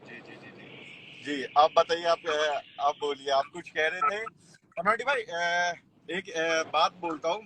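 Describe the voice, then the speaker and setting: Very sharp, high-voiced young man, bluntly defiant. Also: rough recording. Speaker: guest caller on a live stream. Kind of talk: casual argumentative chat. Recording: live call with faint noise.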